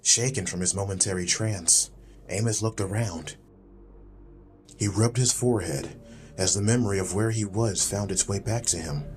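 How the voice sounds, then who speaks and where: A man with a deep voice narrates a fantasy audiobook. His delivery is measured, literary and dramatic, with music playing clearly behind him. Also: darkish tone.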